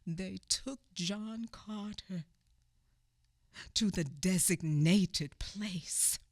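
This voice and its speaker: A woman playing a grave, slow-spoken memoirist. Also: mid-range voice, dry recording, studio quality. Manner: emphatic, with hushed asides and knowing murmurs. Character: woman recalling her youth, grave and wry. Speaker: narrator of a radio play. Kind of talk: radio theater storytelling.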